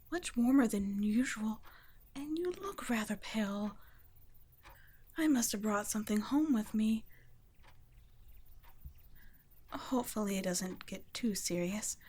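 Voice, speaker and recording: A woman playing a gentle, protective vampire caretaker in an intimate ASMR roleplay, speaking softly and breathily, often nearly whispering. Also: on the high side. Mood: tender, concerned. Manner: soft, breathy, tender, often dropping to a whisper.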